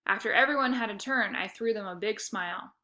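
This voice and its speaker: A woman reading a children's chapter book aloud in a lively storytelling manner. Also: mid-range voice, brisk. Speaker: reader.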